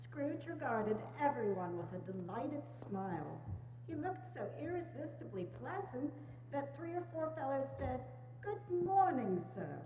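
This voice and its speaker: Mellow, high-voiced woman narrator. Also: big pitch swings, a little slow. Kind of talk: dramatic storytelling read from a script. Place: church, community dramatic reading. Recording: muffled, echoey church hall, humming.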